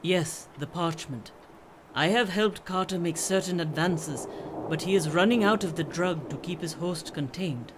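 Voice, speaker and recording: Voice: medium pitch, measured pace, background noise, close to an everyday voice. An actor in a radio play playing a mysterious veiled swami who tells strange tales in a grave, formal, portentous manner. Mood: grave, cryptic.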